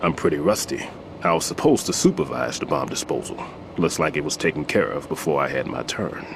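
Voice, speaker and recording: A very deep, animated older man's voice with clear noise behind it. He is a retired bomb disposal veteran, weary but authoritative, instructing step by step like a mentor in a video game scene. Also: brisk, heavily acted. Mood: rueful. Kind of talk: video game voice acting, explaining and briefing.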